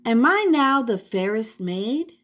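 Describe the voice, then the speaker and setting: A woman's voice: fairly high pitch, sing-song, slow pace, fairly bright tone, exaggerated voice. A woman voicing a vain, cruel, jealous fairy-tale queen with an imperious manner in a storybook reading. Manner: imperious, commanding. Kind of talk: storybook read-aloud.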